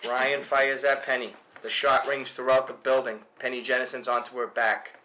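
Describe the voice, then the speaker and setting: A man's voice: medium pitch, level tone, normal speed, sharp tone, low-quality recording. A man reading stage directions aloud as narration at a film table read.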